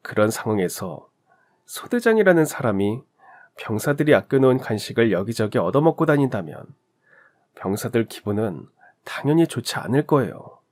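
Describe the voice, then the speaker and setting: A man's voice: medium pitch, very animated, moderate pace, a little mellow. A young man narrating a scripted story in a conversational way, speaking directly to the listener.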